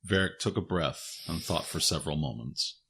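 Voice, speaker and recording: A man with a husky voice reading scripted fiction as a narrator. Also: rumbling low, lively intonation, normal speed, pushed and bright. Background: music.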